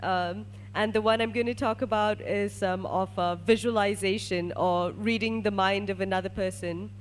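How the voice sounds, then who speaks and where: A woman's voice: mid-range voice, fairly even intonation, moderate pace, a little hiss or hum. A woman from Malaysia, a research scientist, telling a personal story to an audience as a testimonial.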